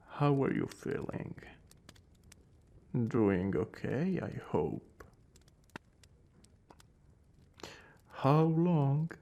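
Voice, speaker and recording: A man acting a brooding vampire lord, aloof yet secretly caring, in a soft, breathy ASMR roleplay that often drops toward a whisper. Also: medium pitch, full character voice. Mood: gentle concern. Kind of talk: ASMR roleplay, intimate dramatic monologue.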